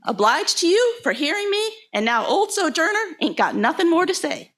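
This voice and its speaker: A woman's voice: extremely high pitch, big pitch swings, moderate pace, noisy background. A woman reading a historic speech as a fiery, plain-spoken formerly enslaved woman preacher, with folksy, emphatic rhetoric. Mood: emphatic, teasing.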